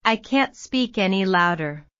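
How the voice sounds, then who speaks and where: A woman modelling English phrases for learners, repeating each one slowly and then word by word. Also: mid-range voice, expressive, fairly bright tone, dry recording. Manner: very slow, carefully enunciated. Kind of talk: slow drill reading, word by word. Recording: dull, slightly lo-fi sound.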